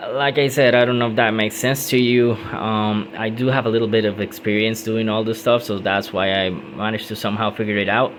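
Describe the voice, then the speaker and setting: A young man from Puerto Rico with a Puerto Rican Spanish accent, walking through a car headlight wiring fix in a casual tutorial, pausing often with ums. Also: fairly low pitch, a bit bright, a little hiss or hum. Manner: casual, halting, many ums and restarts. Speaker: car modding hobbyist. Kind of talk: hands-on how-to tutorial.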